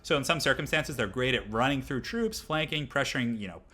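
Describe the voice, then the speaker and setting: A man with a voice hoarse from a cold, casually commentating a replay of a strategy game for viewers. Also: mid-range voice, animated, a little fast, sharp tone. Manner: casual, chatty, addresses viewers as guys. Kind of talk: gameplay commentary.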